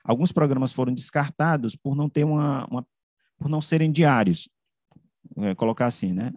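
Brazilian man presenting research, haltingly. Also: medium pitch, a little mellow, dry recording, clean studio sound. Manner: halting, with frequent self-corrections and tag questions. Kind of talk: academic slide presentation, semi-improvised. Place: indoors, on a video call. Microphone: computer mic on a video call.

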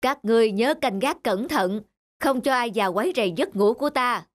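A woman voicing an imperious but fair middle-aged king in an animated folktale, commanding and deliberate in a regal manner. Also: on the high side, close to an everyday voice. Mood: stern. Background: background music.

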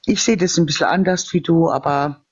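A high-pitched man argues his faith in a casual, heated debate, pushing his point and ending sentences with a questioning "ne?". The audio is dull and lo-fi, coming through a phone on a live video call. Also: a little fast, husky. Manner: insistent, preachy, tags sentences with "ne?".

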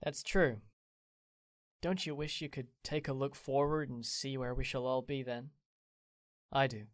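A man voices a playful, good-hearted young gentleman in a radio play. His mid-pitched voice sounds close and dry. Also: fairly even intonation, measured pace, no echo, close to an everyday voice. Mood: cheerful. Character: cheerful young gentleman, devoted friend.

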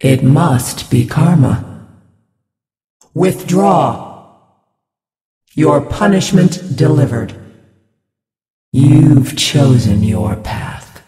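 Slow, solemn spirit healer in reverb. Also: female voice, low-pitched, expressive, dark tone, recorded from a distance, heavily acted. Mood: solemn, judging. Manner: measured, ceremonious, pious. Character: serene, devout female floating spirit healer, solemn and judging. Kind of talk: video game character voice lines. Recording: reverberant hall effect, music behind.

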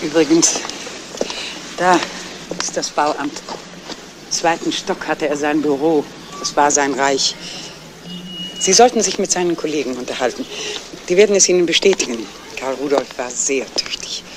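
Woman acting a stern middle-aged sister, clipped formal replies, defensive, retro crime radio drama, clearly noisy recording. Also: deep voice, moderate pace, natural voice. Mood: formal, dismissive. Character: stern, guarded sister of a dead man, former nurse. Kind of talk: 1970s German crime radio play acting.

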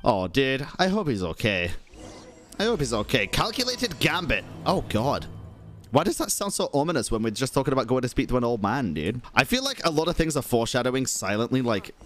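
A man in his thirties commenting on a game as a streamer, excitable and jokey. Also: mid-range voice, brisk, faint music behind. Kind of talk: gameplay commentary. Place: home streaming room.

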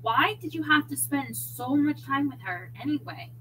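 A woman voicing an emotional, jealous girlfriend who is quick to huff and plead, in an audiobook story. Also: sits high, lively intonation, pushed and bright, a little hiss or hum, pitched above the performer's own voice, rough recording. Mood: jealous, scolding. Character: jealous, huffy young woman, anxious lover.

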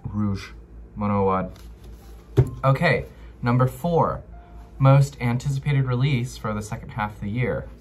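A man's voice: mid-range voice, unhurried, long pauses, faint music behind. A young American man chatting casually to camera as a book vlogger, slangy and given to dramatic emphasis. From Los Angeles, United States. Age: young adult. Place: small bedroom, indoors.

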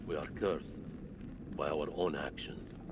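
An actor playing a grave ancient soldier in a video game, speaking in a solemn, measured way. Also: male voice, a bass voice, fairly even intonation, heavy background noise. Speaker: game character.

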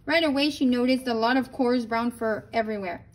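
A woman reading a children's storybook aloud in an expressive way, with brief asides. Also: fairly high pitch, fairly quick. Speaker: storybook reader.

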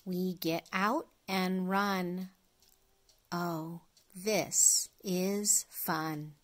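A middle-aged woman reading an early reader picture book aloud to young children, phrase by phrase in a deliberate way. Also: fairly low pitch, fairly even intonation. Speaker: storyteller, children's read-along host.

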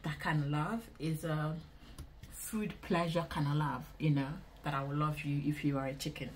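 Low-voiced young Nigerian woman vlogger. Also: fairly even intonation, moderate pace, slight background noise. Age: 20s. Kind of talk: casual Q&A chat to camera. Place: small indoor room.

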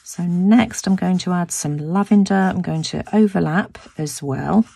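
Croaky low-voiced woman doing a chatty craft tutorial, husky, dry close sound. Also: a little slow, warm tone, dry recording. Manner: chatty, thinking aloud with restarts. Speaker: craft video host. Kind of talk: casual craft tutorial narration. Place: home craft room, tabletop.

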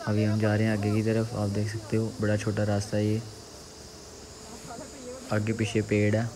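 A man's voice: lowish voice, completely flat delivery, normal speed, a crowd in the background. A young man giving casual travel vlog commentary, pointing out the surroundings to viewers. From Jammu, India. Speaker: vlogger. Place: outdoors, on motorbike and hillside trail. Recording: noisy outdoor motorbike and trail recording.

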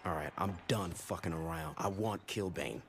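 An actor playing a tough, blunt, cocky, foul-mouthed street gang boss. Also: male voice, deep voice, moderate pace, noisy background. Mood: fed up.

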